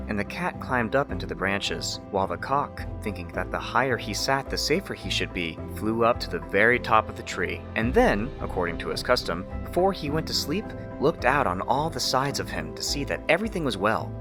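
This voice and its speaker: A man narrating a fairy tale as a storyteller, in an expressive, measured way. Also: lowish voice, over music.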